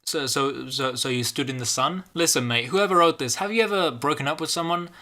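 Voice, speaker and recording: A man with a bright, forceful voice hosts a comedy reading. His delivery is sardonic and conversational, moving between storytelling and sweary, exasperated commentary. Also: fairly high pitch, brisk. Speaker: YouTube host.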